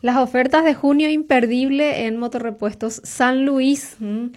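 A woman's voice: sits high, steady tone, a little slow, a little mellow. A woman, co-hosting local radio and reading promotional offers in an upbeat selling tone. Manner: upbeat, selling tone, backing up her co-host. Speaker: radio co-host reading ads. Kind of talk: bright promotional ad read.

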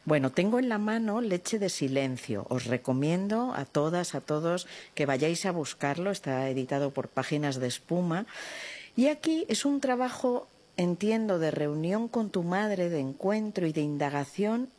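Husky-voiced woman podcast host. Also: lowish voice, lively intonation, normal speed. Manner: warm articulate host, brief affirmations. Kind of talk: book podcast interview. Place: art museum in Madrid.

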